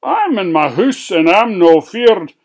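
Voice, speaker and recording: A reader doing an excitable Scottish piglet. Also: medium pitch, slow, vocal fry, husky, pitched above the performer's own voice, full character voice. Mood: defiant. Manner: eager, rhyming, sing-song.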